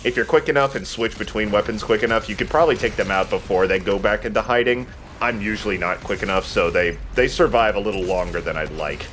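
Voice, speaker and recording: A man's voice: lowish voice, fast, fairly bright tone, a little hiss or hum. A man giving casual, conversational gameplay commentary as a let's play host.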